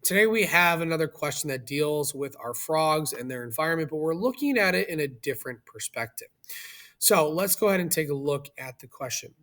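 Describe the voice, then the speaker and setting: A man's voice: a little high, animated, brisk, a bit bright. A man teaching in an explanatory video lesson, speaking instructionally and checking understanding with questions as he goes.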